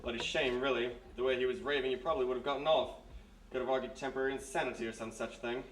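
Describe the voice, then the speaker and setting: A man's voice: mid-range voice, fairly even intonation, fast talker, slight background noise, close to an everyday voice. A man playing a stern, dry army major with clipped, formal speech in a live radio mystery. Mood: brisk, matter-of-fact. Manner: clipped, formal, matter-of-fact. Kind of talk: live old-time radio mystery acting. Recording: roomy live stage, distant mic.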